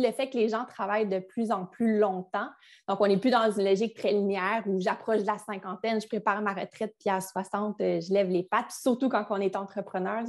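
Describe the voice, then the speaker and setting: A young woman with a Quebec French accent giving a business webinar on a video call, in a chatty style with frequent restarts. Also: mid-range voice. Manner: conversational, with frequent restarts and fillers. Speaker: business succession advisor. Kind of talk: webinar presentation.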